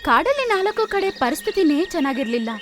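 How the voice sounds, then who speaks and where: A woman narrating a children's cartoon story in an animated storytelling style. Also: very high voice, brisk, over loud music.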